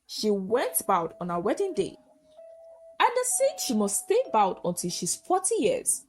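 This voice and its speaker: A woman's voice: medium pitch, wildly swooping pitch, a little slow, darkish tone, husky, soft background music, full character voice. A woman voicing a mocking, cruel village gossip in a folktale, scornful and amused.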